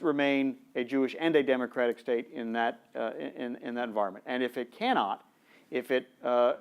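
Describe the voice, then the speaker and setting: A man speaking on a conference panel as a former ambassador, measured and diplomatic, with many fillers. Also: medium pitch, clean tone. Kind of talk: panel discussion. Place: conference room.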